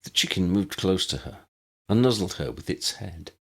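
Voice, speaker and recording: A man's voice: low-pitched, animated, normal speed, husky. A man reading scripted fantasy fiction as an audiobook narrator.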